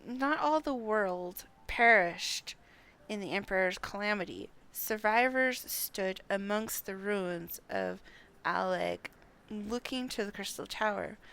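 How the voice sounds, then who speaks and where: A woman's voice: mid-range voice, vocal fry, a bit bright. A woman livestreaming a game, chatting and reading dialogue aloud, sometimes stumbling over names. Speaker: game streamer.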